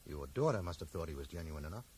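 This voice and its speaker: An actor playing a dogged, dry-witted bookseller who questions people like a detective, direct and wry, in a radio drama. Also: male voice, a bass voice, expressive, fast talker, darkish tone. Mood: probing, insistent. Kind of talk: 1980s radio drama acting. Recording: noisy 1980s radio broadcast.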